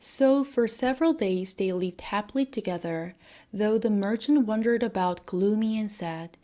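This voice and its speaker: A woman's voice: mid-range voice, moderate pace, warm tone. Woman narrating a bedtime fairy tale. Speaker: storyteller.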